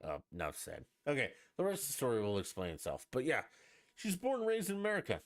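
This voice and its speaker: A man's voice: medium pitch, wildly swooping pitch, quick pace, no echo, clean studio sound. A man hosting a podcast, talking alone in a blunt, profane, emphatic rant. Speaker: podcast host. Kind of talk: opinionated solo podcast rant.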